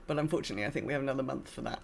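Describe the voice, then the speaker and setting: A woman co-hosting a podcast in casual chat, talking in a halting, self-deprecating way. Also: extremely low pitch, gravelly. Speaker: podcast co-host.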